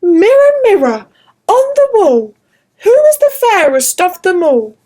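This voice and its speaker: A woman voicing a proud, jealous wicked queen in a storybook, chanting high. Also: sing-song, measured pace, dark tone, exaggerated voice. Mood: vain.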